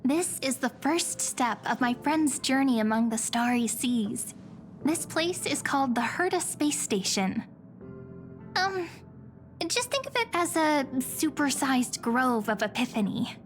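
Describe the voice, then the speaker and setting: A woman voicing a sweet, friendly young girl guide in a video game, chatty, gentle and polite. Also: high-pitched, animated, measured pace, soft background music. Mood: friendly, eager. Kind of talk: video game character acting.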